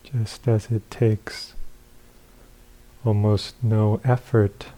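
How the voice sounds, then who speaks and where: Slow, very mellow man guiding meditation. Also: fairly low pitch. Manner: calm, unhurried, with long pauses between short phrases. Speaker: meditation teacher. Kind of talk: guided meditation instruction.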